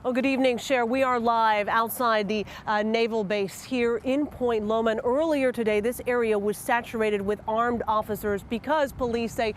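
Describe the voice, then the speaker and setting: A woman reports live from outdoors as a field journalist. Her voice is fairly high. There is faint ambient noise around her. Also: steady tone, normal speed, few pauses.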